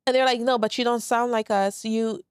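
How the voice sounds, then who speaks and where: Solo podcast host, woman in her 30s, Brooklyn accent with a light Saint Lucian trace, candid and laid back, smooth clear voice, dry close sound. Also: fairly high pitch, fairly even intonation, fairly quick, no room sound. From Saint Lucia, raised in Brooklyn, New York. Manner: candid and laid back, lots of "like" and "very much so", swears freely. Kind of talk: casual solo podcast monologue.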